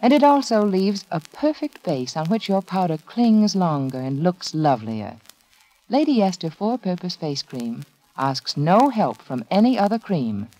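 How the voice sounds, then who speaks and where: A woman announcer delivering a scripted 1940s radio advertisement in a measured, persuasive manner. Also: on the low side, very animated, mellow, low-quality recording. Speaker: commercial announcer.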